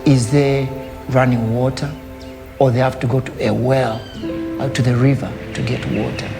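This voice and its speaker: A middle-aged man speaks in a documentary interview, a Lugala speaker using English, with a gravelly, rough edge to his mid-range voice. He talks thoughtfully, pausing and reaching for words. Loud music runs clearly beneath him. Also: medium pitch, level tone. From Zambia. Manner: thoughtful, measured, with pauses and fillers. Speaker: artist.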